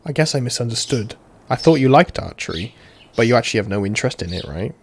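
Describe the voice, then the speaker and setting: A man's voice: mid-range voice, animated, quick pace, slight background noise. A man reading a visual novel aloud as a let's player, with informal, cheeky, sweary asides. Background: game music.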